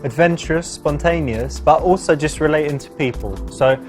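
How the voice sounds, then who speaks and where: A man's voice: fairly high pitch, normal speed, faint music behind. A man talking casually, like a host and dating coach chatting and giving commentary.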